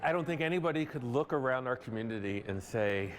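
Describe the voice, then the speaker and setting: A man's voice: mid-range voice, lively intonation, quick pace. A man with an American accent giving interview answers as a nonprofit director. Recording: clear background ambient noise.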